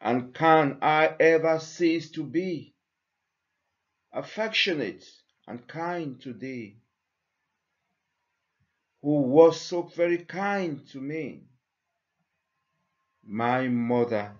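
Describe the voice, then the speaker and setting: A man reciting poetry slowly and deliberately, with measured pauses between phrases. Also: on the high side, lively intonation, muffled recording. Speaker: church member reading a poem.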